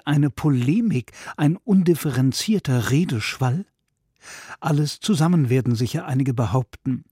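Husky man reading quotes aloud. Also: on the high side, warm tone, dry recording, clean studio sound. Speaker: radio reader voicing quoted book passages. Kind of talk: dramatic reading of quotations.

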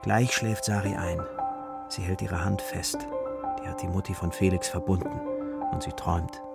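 Low, gravelly male storyteller. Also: fairly even intonation, normal speed, over loud music. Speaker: narrator. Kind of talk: children's radio play storytelling.

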